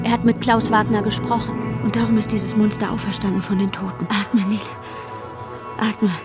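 A woman's voice: medium pitch, lightly acted. An actress playing an anxious, panic-prone woman narrating her inner turmoil in a German radio drama, often dropping into whispers. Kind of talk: German radio drama, intimate first-person inner monologue. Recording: voice over prominent music.

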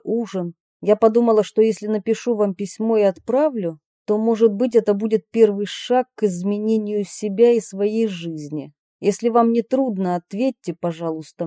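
A woman reading personal letters aloud in an expressive way. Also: medium pitch, no room sound, clean studio sound.

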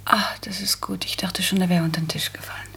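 A self-assured, practical small-town wife in a radio play. Her voice is breathy and rough, with some fry in it. She talks in a knowing, pointed way. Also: on the low side, level tone, fairly quick, pushed and bright, whispered, heavily acted. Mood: sly, pleased. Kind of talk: German radio play acting.

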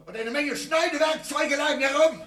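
A man's voice: extremely high pitch, normal speed, fairly bright tone, echoey room, far from the mic. An actor playing a brutal male police interrogator who taunts and mocks a suspect in a radio play. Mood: taunting. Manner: taunting, mocking, jeering.

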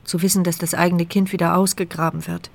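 A woman's voice: lowish voice, level tone, quick pace, vocal fry. An actress playing a paralysed woman who narrates her inner thoughts in a dry, sardonic, reflective way for a radio drama. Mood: brooding. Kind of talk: scripted radio drama monologue.

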